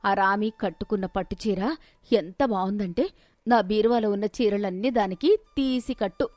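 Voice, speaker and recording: A woman's voice: medium pitch, moderate pace, darkish tone, no echo, lightly acted. A woman voicing a proud, jealous, stubborn housewife who scolds and demands in a storybook tale. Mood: indignant, demanding.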